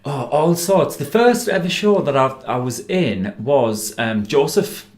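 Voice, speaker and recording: Young man, Geordie accent, giddy and chatty, quick rambling delivery, radio studio. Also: medium pitch, animated. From North East England. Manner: giddy, fast-talking, laughs often. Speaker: guest singer. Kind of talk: excited chatty interview.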